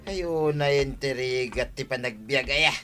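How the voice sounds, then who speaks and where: A man's voice: medium pitch, normal speed, over music, close to an everyday voice. A man with an Ilocano accent playing a gloomy, stubborn village husband in a radio comedy drama. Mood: glum.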